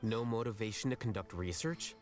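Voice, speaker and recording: A man's voice: lowish voice, brisk, soft background music, close to an everyday voice. A man voicing a composed, blunt and reserved scholar in a video game, with a terse, cool delivery. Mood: cool, detached.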